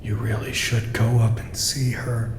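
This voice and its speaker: A man acting in an audio drama as an anguished, guilt-ridden worker at a doomed secret facility, halting and earnest. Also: medium pitch, flat delivery, echoey room, background noise, close to an everyday voice. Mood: awkward, gentle.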